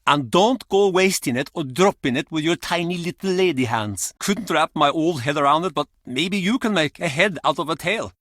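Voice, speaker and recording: An actor playing a gruff, cantankerous old fisherman in a video game, with a blustering, theatrical way of telling tall tales. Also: a little high, animated, normal speed, hardly any pauses, a bit bright, no echo, studio quality. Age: older. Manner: blustering, curt, theatrical storytelling. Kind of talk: video game character acting.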